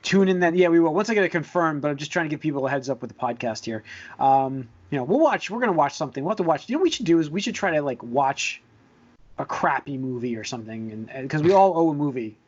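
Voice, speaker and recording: A man hosting a podcast in casual chat, halting and hedging, often repeating his words as he goes. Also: fairly high pitch, animated, darkish tone. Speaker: podcast host. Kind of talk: casual chat about movies and shows. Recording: dull lo-fi voice recording.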